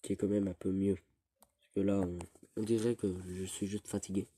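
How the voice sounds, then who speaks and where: A teenage boy with a cold, rambling through casual gameplay commentary with halting restarts. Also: low voice, level tone, warm tone, slight background noise. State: stuffy with a cold. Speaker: gaming youtuber.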